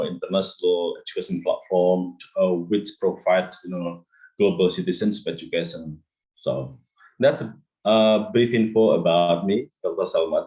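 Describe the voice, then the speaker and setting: A middle-aged man with an Indonesian accent giving polite, conversational interview answers as an educator, often pausing mid-sentence. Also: sits low, mellow, rough recording. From East Java, Indonesia. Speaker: interview guest, school deputy principal and English teacher.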